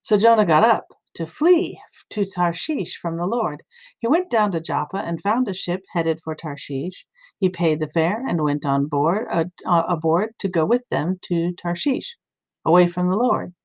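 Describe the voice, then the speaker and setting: A woman reading scripture aloud as expressive, dramatic storytelling narration at a medium pitch. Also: measured pace. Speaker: scripture reader.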